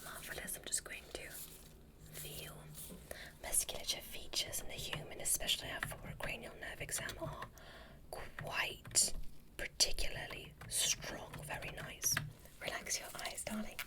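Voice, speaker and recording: A woman playing a kindly, curious alien doctor in a whispered ASMR medical roleplay, giving polite, softly encouraging instructions in a breathy voice. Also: measured pace, close to an everyday voice. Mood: soothing, encouraging.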